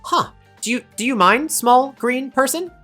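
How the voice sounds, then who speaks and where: A woman puts on a bubbly young tinkerer girl for a tabletop game. Her voice jumps way up into a squeaky, eager register and swings widely, with faint music underneath. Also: normal speed. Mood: excitable. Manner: excitable, squeaky rises. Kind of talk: improvised tabletop character voice.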